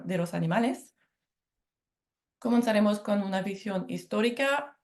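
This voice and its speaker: A French woman speaking Spanish with a French accent, giving a measured academic presentation and correcting herself on harder words. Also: medium pitch, a little mellow. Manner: measured, careful, with self-corrections on hard words. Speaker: lecturer.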